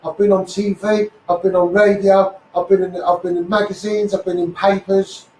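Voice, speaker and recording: A man's voice: high voice, little pitch movement, moderate pace, a little mellow. A man with a Scouse accent delivers an impassioned, blunt, sweary live rant as a campaigner, repeating phrases for emphasis. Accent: Scouse (Liverpool). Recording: echoey, noisy livestream, distant mic.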